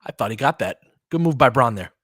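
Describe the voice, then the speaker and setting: A man gives casual basketball play-by-play with an analyst's eye. His pitch sits in an ordinary male range, and he moves from short, clipped calls to conversational tactical explanation. The sound is dry, with very little room in it. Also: lively intonation, fast talker, a bit bright, breathy edge, dry recording. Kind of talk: casual live game commentary with tactical asides.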